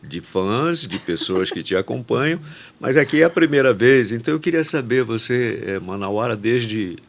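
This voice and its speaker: A man's voice: medium pitch, animated, moderate pace. A man with a Brazilian accent hosting a podcast, introducing and interviewing in a conversational way.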